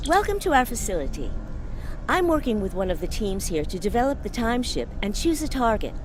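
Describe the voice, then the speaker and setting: Earnest, high-voiced scientist. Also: female voice, big pitch swings, brisk, slight background noise. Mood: earnest explaining. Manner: earnest, explanatory. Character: earnest, idealistic female scientist building a time weapon. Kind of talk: scripted game dialogue.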